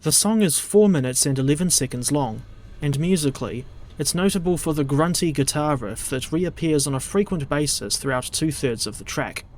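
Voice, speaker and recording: A man with a New Zealand accent reading a scripted narration in a dry, measured, digressive way. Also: fairly high pitch, animated, a little hiss or hum. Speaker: video essay narrator.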